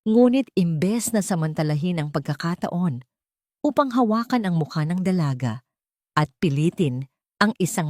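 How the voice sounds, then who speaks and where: A woman's voice: lowish voice, dark tone, no room sound, studio quality. A woman narrating a scripted dramatic story as a storyteller. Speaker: narrator of Tagalog drama stories.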